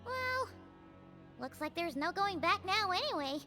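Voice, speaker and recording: Small childlike companion, squeaky, lively intonation, unhurried, video game, excitable, earnest. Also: female voice, faint music behind, heavily acted. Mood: encouraging.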